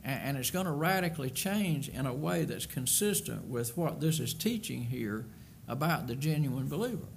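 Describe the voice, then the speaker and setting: A man's voice: mid-range voice, expressive, normal speed, vocal fry, hardly any pauses, a little hiss or hum. An older man preaching a sermon from a church pulpit, earnest and emphatic, often repeating words as he builds a point. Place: church sanctuary at a wooden pulpit. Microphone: pulpit microphone.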